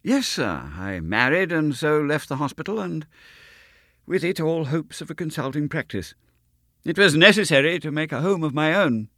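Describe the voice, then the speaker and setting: A man voicing an eager, fussy young country doctor, speaking in an excitable, effusive way in an audiobook reading. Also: moderate pace, dry recording, studio quality. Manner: excitable, effusive and high-strung.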